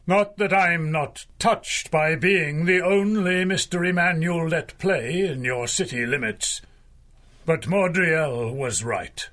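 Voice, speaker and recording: An actor playing a weary old mystic hero, grave and dramatic, in a 1930s-style superhero radio drama. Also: male voice, fairly high pitch, takes it slowly, fairly bright tone.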